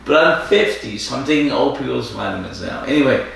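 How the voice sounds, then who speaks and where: A man's voice: mid-range voice, lively intonation, brisk, vocal fry, fairly bright tone. A man in his fifties chatting casually after a few drinks, rambling, repeating himself and chuckling as he co-hosts. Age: 50s. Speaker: podcast co-host. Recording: echoey room, distant mic, faint ambience.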